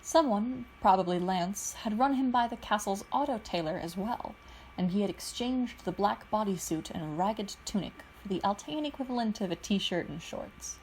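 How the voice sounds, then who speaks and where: A woman reading fan fiction aloud in a steady, even narrating manner. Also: mid-range voice, normal speed, slight background noise, rough recording. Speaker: podfic reader.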